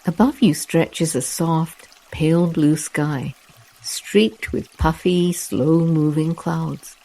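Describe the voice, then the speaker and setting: Slow, mellow meditation guide. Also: medium pitch, gravelly, rough recording. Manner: soft, soothing, unhurried delivery with long pauses.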